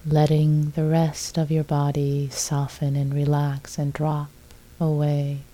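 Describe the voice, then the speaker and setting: A woman leads a guided meditation in a very soft, dark and mellow voice. She speaks slowly and gently, leaving long silences between short phrases. Her pitch sits low for a woman, and her delivery stays calm throughout. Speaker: meditation teacher.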